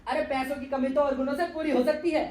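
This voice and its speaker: A man's voice: very high-pitched, level tone, fast talker. A man acting a polite but aggrieved young son who protests deferentially in a stage play. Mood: respectful. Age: young adult. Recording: distant, echoey live stage recording, noisy.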